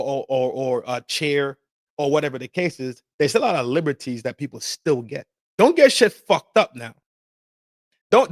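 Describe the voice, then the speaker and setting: A man's voice: medium pitch, no echo, studio quality. A man hosting a podcast, ranting casually about politics with heavy swearing, slang and repeated phrases. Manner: profane, emphatic, repetitive, street slang. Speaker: podcast host. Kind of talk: casual opinion rant.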